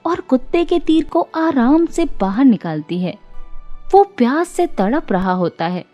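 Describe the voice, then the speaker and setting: A woman narrating a scripted children's story in a deliberate, expressive storytelling manner. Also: high voice, dull audio, soft background music.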